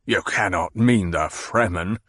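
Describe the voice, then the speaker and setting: A man's voice: deep voice, a little slow, a bit bright, no echo, studio quality. A man voicing a fat, petulant, demanding baron who blusters and growls. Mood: blustering.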